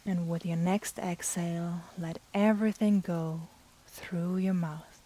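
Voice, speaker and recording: A woman guiding a yoga nidra meditation in a soft, breathy, soothing manner with long pauses. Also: lowish voice, fairly even intonation. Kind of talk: guided meditation.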